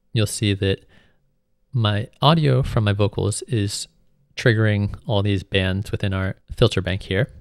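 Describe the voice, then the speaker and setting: A man giving an informal, step-by-step tutorial as a music software instructor. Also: fairly low pitch, vocal fry, dry recording, clean studio sound. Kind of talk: tutorial narration, explanatory.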